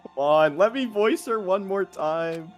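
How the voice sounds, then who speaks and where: A man's voice: high voice, lively intonation, a little slow. A man chatting casually as he co-streams a game. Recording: faint music behind. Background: faint game music.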